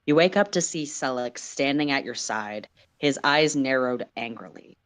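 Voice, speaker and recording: Very low-voiced woman reading a story. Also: animated, moderate pace. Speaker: story reader, livestream host. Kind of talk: dramatic fan fiction narration, second person.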